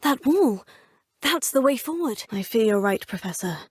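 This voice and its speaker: Young woman, game hero. Earnest, determined, slightly high, husky tone, dry close studio sound. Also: animated, normal speed, dry recording. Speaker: player character voice clips. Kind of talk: game voice acting. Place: recording studio.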